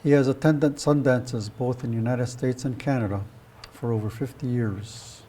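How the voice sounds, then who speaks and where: A man presents a guest by reading from a prepared script. His voice is mellow and relaxed, sitting at a middling pitch for a man. The delivery is that of a formal spoken introduction. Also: moderate pace, slight background noise. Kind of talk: scripted biographical introduction, read aloud.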